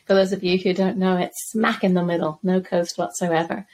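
A middle-aged woman who grew up in Zambia, giving measured, articulate answers as an interview guest. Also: lowish voice, little pitch movement, a little mellow. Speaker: guest crypto researcher.

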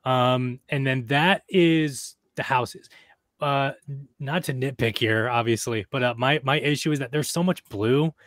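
A man co-hosting a casual chat, reading names from his notes with many pauses and fillers. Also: medium pitch, a bit bright, dry recording, clean studio sound. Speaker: podcast co-host.

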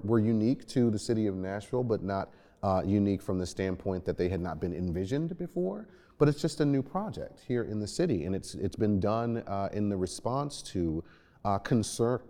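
Deep mellow man hosting, in echoey space. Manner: halting, measured phrasing. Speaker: podcast host. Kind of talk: discussion moderating. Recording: reverberant hall-like echo.

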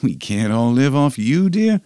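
An actor playing a desperate, apologetic farmer and father, with an American accent and a halting, pleading delivery. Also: medium pitch, sing-song, warm tone, clear, resonant tone, no room sound, studio quality. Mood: pleading, regretful. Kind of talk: dramatic film dialogue reading.

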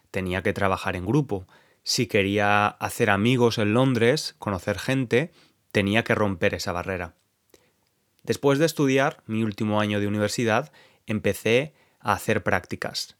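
A young man from Spain with a Spanish accent, hosting a podcast and explaining things clearly and calmly to Spanish learners. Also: lowish voice, moderate pace. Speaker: podcast host teaching Spanish. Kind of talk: scripted podcast monologue for learners.

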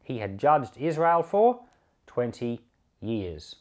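A man reading scripture aloud for a podcast in a measured, deliberate, expressive storytelling manner. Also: medium pitch. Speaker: pastor and Bible reader.

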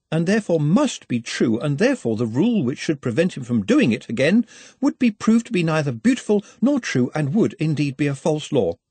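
A man's voice: a little high, a little mellow, slightly rough, no echo, voice pushed up. A man voicing a meek, learned little male creature with a small, earnest, formal voice, in an audiobook. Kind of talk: audiobook character acting.

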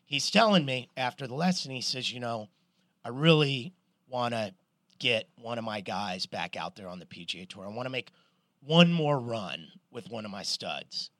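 A middle-aged man co-hosting a podcast, telling stories in an animated, swearing, casual way. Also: mid-range voice, moderate pace, no room sound, clean studio sound. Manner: animated storyteller, swears, restarts a lot. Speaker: podcast co-host. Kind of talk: casual sports chat.

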